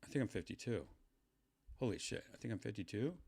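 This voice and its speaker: A man's voice: lowish voice, lively intonation, a little fast, gravelly, a little mellow, husky. A man in his fifties chatting casually to his livestream viewers, rambling with lots of fillers and restarts. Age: 50s. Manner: meandering, trails off, many fillers and restarts. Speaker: livestream host.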